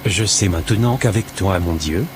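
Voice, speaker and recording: Man voicing a low-pitched, devout, humble man. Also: moderate pace, noisy background, lightly acted. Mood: earnest, reflective. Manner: pious, earnest, formal. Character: devout, humble formerly homeless man, earnest and grateful.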